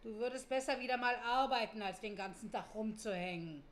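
A woman's voice: on the high side, fairly quick, lightly acted. A woman playing an older, sardonic, scolding mother of a grown daughter in a radio drama. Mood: sardonic, nagging.

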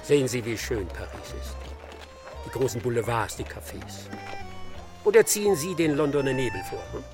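An actor playing a self-assured, theatrical master detective in a radio play, declaiming pompously and excitably with little care for others. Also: male voice, mid-range voice, moderate pace, music in the background, lightly acted. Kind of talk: German radio play acting.

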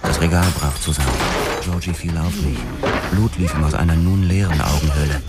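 Grim hard-boiled detective narrator, extremely low voice, measured, weary, crime radio play, loud music underneath. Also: male voice, steady tone. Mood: grim, intense. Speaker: first-person narrator.

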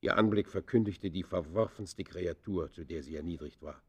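Bitter old-school radio play outlaw, low pitched, deliberate and literary, confessing his crimes. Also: husky. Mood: bitter, disdainful. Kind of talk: German classic radio play acting.

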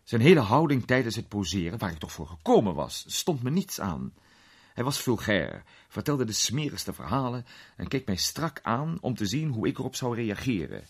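A man playing a worldly film actor in a radio drama, recounting an unsettling memory in a conversational, sometimes hesitant, theatrical way with a husky voice. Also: mid-range voice, very animated, normal speed. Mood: uneasy, confiding. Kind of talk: radio drama storytelling in character.

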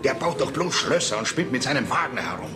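An actor plays an agitated gentleman banker in a 1970s radio drama. His medium-pitched voice leaps about with sardonic exasperation, and music is loud behind him. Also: big pitch swings, quick pace, lightly acted. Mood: exasperated. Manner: agitated, formal. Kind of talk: radio play acting.

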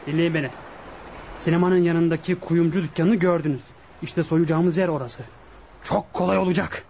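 An actor playing a bossy, impatient leader of a burglary gang in a radio drama, commanding and dismissive. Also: male voice, on the high side, fairly even intonation, normal speed, darkish tone, slightly rough, lo-fi sound. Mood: commanding, scheming. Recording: radio play with faint background noise.

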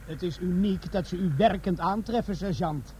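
A man plays a sardonic army corporal in a comic crime radio play. The voice is high for a man, deadpan and mock-polite. There is faint noise behind it. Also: normal speed, natural voice. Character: dry, sardonic army corporal, robber's sidekick. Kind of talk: comic radio drama acting.

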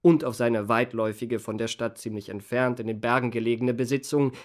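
An actor playing an eloquent, flattering young gentleman with florid, courteous manners in a radio play. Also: mid-range voice, brisk, natural voice. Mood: polite, explanatory. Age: young adult. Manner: florid, courteous, elaborately polite.

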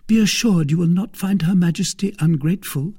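Audiobook heroine: male reader as young wife in love, raised pitch, husky, breathy, earnest and pleading. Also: a little fast, a little mellow, dry recording, studio quality. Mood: pleased, gracious. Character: anxious, earnest young married Frenchwoman in love.

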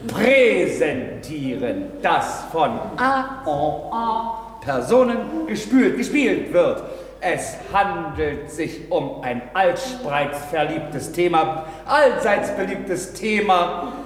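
A man's voice: high-pitched, slight background noise, heavily acted. A man playing a pompous, bumbling theatre announcer who stumbles over grand words and corrects himself. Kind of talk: theatrical stage prologue. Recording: very echoey hall, distant mic.

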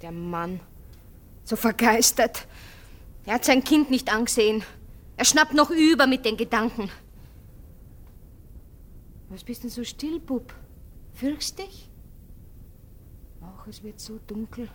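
A woman's voice: on the high side, animated, slow, long pauses. An actress playing an anxious, troubled young working-class mother in a radio play, with colloquial speech. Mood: worried, fearful. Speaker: actor. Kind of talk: theatrical radio drama.

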